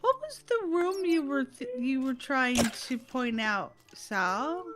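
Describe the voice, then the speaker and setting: A woman's voice: sits high, sing-song, noisy background. A woman playing a building game with friends on a livestream, laid-back and joking. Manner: laid-back, drawn-out delivery, light joking. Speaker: co-player on a livestream. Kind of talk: casual gaming chat.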